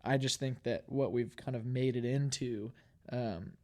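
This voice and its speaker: A man's voice: mid-range voice, darkish tone. A man, a podcast co-host, sharing thoughtful, hedged opinions in a casual group chat. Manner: measured, thoughtful, hedging opinions.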